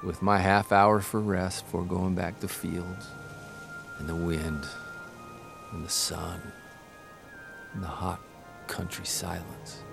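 An actor playing a wistful writer in an audio drama, reading in a measured, contemplative way. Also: male voice, low voice, level tone, gravelly, full character voice. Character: wistful writer son, reflective Midwestern farm boy grown up. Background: music.

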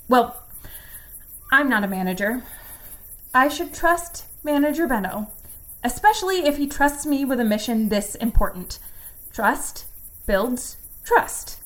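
A woman's voice: sits high, animated, unhurried, a bit bright. A woman playing an earnest, anxious and loyal company employee, talking herself through doubts in an audio drama monologue. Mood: self-reassuring. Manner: earnest, self-doubting, reciting corporate slogans.

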